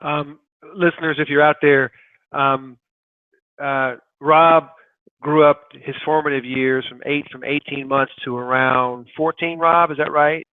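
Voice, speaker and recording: A man's voice: a little high, fairly even intonation, a little slow, clear, resonant tone, no echo. A man hosting an online interview, asking curious follow-up questions and laughing along with his guest. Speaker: interview host. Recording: tinny phone-like call audio.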